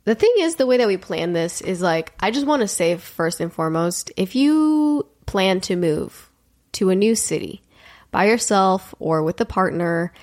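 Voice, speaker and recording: A woman's voice: medium pitch, big pitch swings, moderate pace, darkish tone. Chatty young woman with a cold. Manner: chatty, rambling, lots of fillers. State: has a cold, slightly nasal. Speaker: podcast co-host. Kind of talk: casual chat. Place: apartment, seated at a table.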